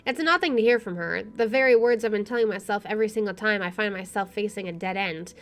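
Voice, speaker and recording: A woman's voice: mid-range voice, quick pace. A woman playing through a game, reading its narration aloud with wry, sweary casual commentary. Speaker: let's player.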